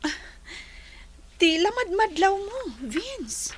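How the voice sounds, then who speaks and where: A woman playing a gentle young lady of the house, speaking softly. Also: very high voice, sing-song, slow pace, full character voice. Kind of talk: radio drama acting.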